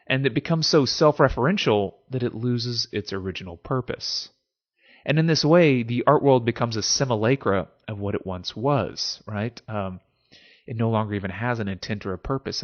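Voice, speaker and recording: A man's voice: fairly low pitch, dull audio. A man giving an informal explanatory monologue as a host, conversational and musing, often posing rhetorical questions. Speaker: host of a philosophy series.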